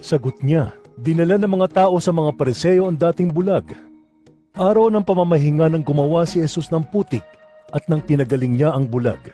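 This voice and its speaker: A man's voice: on the high side, fairly quick, warm tone, faint music behind. A man giving scripted narration of scripture as the narrator.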